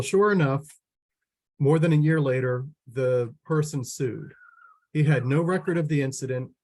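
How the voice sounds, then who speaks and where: A man with a hoarse voice presenting a training webinar as an extension educator, moving quickly with frequent restarts and fillers. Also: medium pitch, a little mellow.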